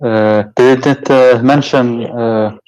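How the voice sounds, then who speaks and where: A man's voice: mid-range voice, steady tone, slow pace, a little mellow, rough recording. A man co-hosting a talk show, hesitant and full of fillers. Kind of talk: casual chat.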